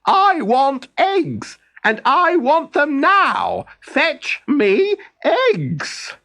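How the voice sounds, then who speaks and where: A man voicing a bossy, hot-tempered female royal cook in a children's story, shrill and demanding. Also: wildly swooping pitch, extremely slow, sharp tone, exaggerated voice. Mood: angry. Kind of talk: storybook character voice.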